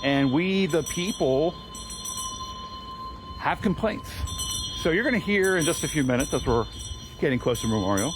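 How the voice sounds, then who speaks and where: An American man hosting an informal outdoor livestream, addressing viewers and a small crowd in an earnest, deliberate, speechmaking way. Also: on the high side, lively intonation, music in the background. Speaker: livestream host and organizer of a public reading. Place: outdoors on a town street. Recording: very noisy outdoor livestream with ringing bells. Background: loud ambient noise and a ringing bell.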